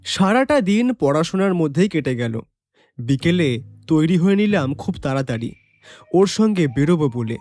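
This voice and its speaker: An actor narrating a mystery audio drama as the detective's reflective companion, expressive and atmospheric. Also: male voice, fairly high pitch. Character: detective's loyal male companion, wondering, reflective storyteller. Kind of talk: dramatised first-person story narration.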